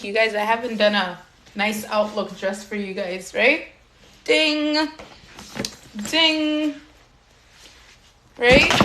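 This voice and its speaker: A woman's voice: on the high side, background noise. A young woman from Canada hosting a live stream for her community foundation. She switches between English and Somali and uses many fillers. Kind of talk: live stream talk to viewers.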